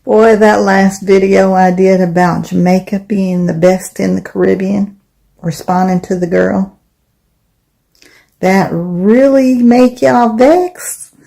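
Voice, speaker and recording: A woman's voice: medium pitch, steady tone, takes it slowly, creaky, dark tone. A woman giving blunt, teasing opinions in a casual social media video. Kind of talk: casual commentary.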